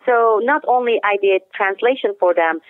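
A woman whose first language is Turkish, a guest explaining her story at length in an interview, steady and detailed. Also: medium pitch, normal speed, no room sound. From Turkey. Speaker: guest, author. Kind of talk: interview answers. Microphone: phone. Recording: tinny phone line.

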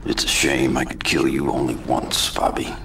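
Grim game vigilante: American, rock-bottom growl, hard, clipped and deadpan. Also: male voice, normal speed, a little hiss or hum, exaggerated voice. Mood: grim, cold. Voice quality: gravelly, very deep. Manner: deadpan, terse. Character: grim, vengeful male vigilante, hard and brooding. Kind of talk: video game cutscene narration and dialogue.

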